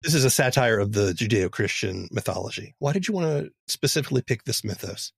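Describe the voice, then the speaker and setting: A man with a gravelly, husky voice asking casual questions as a podcast host. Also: fairly low pitch, expressive, fast talker, dry recording, studio quality.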